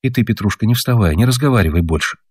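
A man doing a meek, gentle mother. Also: lowish voice, animated, fast talker, husky, dry recording, clean studio sound. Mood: hushing. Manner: soft, meek, pleading.

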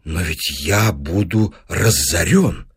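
A man voicing an anxious, doubtful professor in an audiobook, speaking quietly and haltingly. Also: on the low side, very animated, slightly rough, full character voice. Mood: anxious, hesitant. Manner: halting, quiet, heavy-hearted. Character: anxious, hesitant male professor, subdued and doubtful. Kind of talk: audiobook character dialogue.

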